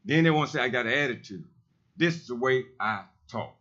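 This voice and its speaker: A man with a heavy, loud voice, speaking emphatically and repeating himself in a preacherly way during public comment at a council meeting. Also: mid-range voice, lively intonation, brisk, highs cut off. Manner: emphatic, repetitive, preacherly insistence.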